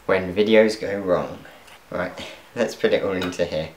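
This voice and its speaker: Young man, low voice, chatty and self-mocking, echoey kitchen, faint clatter of pans. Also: unhurried, background noise. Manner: self-deprecating, chatty, laughs at his own mishaps. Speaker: cooking vlogger. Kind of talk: casual cooking commentary. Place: home kitchen.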